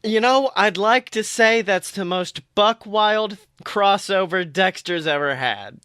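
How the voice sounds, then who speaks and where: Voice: medium pitch, takes it slowly, a bit bright, clear, resonant tone, no room sound, studio quality. A podcast co-host with a jokey, theatrical way of talking, chatting casually and plugging things between bits. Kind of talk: casual banter, sponsor plug.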